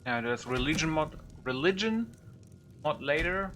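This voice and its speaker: A man's voice: a little high, expressive, unhurried, a bit bright, soft background music. A man doing loose, informal game commentary as a YouTuber, thinking aloud without a script. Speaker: gaming YouTuber showing a modded game. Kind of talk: casual unscripted game commentary.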